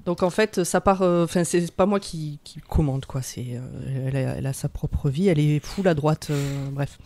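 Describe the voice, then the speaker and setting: A woman's voice: deep voice, normal speed, mellow. A woman podcast panelist chatting casually, jokey and prone to digressions. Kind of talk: casual chat, episode summary.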